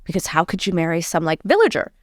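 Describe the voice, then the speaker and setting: A middle-aged woman from Canada, a podcast guest chatting casually and telling lively stories with frequent laughs. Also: lowish voice, fast talker. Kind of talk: casual conversational storytelling.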